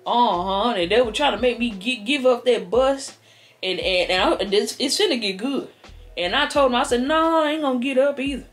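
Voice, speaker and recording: Sassy, stammering American grandma for comedy skit played by a woman, folksy, highish voice, music underneath. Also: normal speed, natural voice. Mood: rambling, sly. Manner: stammering, repeats words, folksy. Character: rambling, sassy old grandmother telling tall tales. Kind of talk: improvised comedic storytelling. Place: living room couch, indoors. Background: background music.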